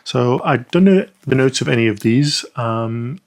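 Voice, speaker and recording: A man's voice: mid-range voice, animated, a little slow. A man reviewing perfume samples in a casual, chatty way, thinking aloud with lots of ums and small restarts. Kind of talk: casual first-impressions review.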